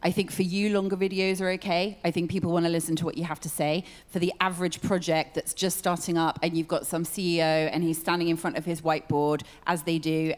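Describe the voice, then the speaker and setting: A woman on a conference panel, polite but direct, speaking into a handheld mic on a large hall stage. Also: low-pitched. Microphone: handheld microphone.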